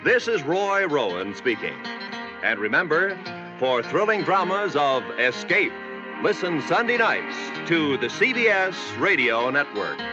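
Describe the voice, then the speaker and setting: A man's voice: on the high side, very animated, measured pace. Old time radio announcer guy, american, swoopy emphatic delivery, music behind, dull old recording. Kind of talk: scripted 1950s radio announcing. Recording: dull vintage radio broadcast.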